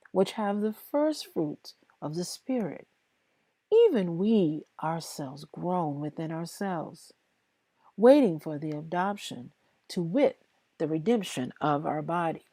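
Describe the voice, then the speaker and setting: Female scripture reader, very unhurried and emphatic, lowish pitch, mellow tone. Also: dry recording. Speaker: host reading scripture aloud. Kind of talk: slow devotional Bible reading aloud, with informal asides to listeners.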